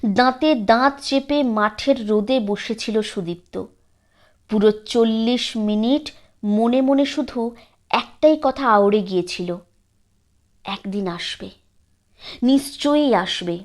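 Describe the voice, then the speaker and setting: A woman narrating a literary story in a measured, expressive way with dramatic pauses. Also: a little high.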